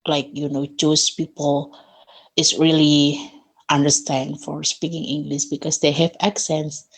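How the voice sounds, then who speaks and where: A young Indonesian woman with an Indonesian accent, chatting in halting learner English and laughing often. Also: low voice, flat delivery, husky. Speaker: conversation partner. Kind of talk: casual chat.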